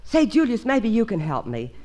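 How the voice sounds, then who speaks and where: Exasperated housewife, very animated pitch. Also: female voice, moderate pace. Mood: fretful. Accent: American. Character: long-suffering, scolding young housewife. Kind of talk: 1950s radio sitcom acting. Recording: noisy old radio recording, audience.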